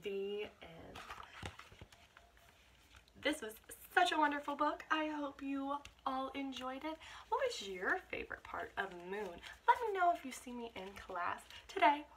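High-voiced young American woman reading to children. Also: unhurried. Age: 20s. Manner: expressive, encouraging, addressing young listeners. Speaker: teacher reading aloud to children. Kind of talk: children's picture-book read-aloud with asides. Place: small bedroom indoors. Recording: clear background noise, home camera microphone.

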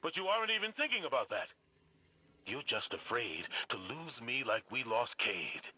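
An actor playing a stern, embittered older military commander in a video game, speaking gravely and argumentatively. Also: male voice, mid-range voice, sing-song, normal speed, pushed and bright, heavily acted. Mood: angry, accusing. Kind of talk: dramatic video game acting.

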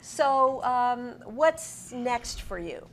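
A woman's voice: on the high side, animated, extremely slow. Effusive American woman interviewer, noisy recording. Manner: effusive, encouraging reactions. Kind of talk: conversational TV interview. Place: TV studio, indoors at a desk. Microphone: lapel mic.

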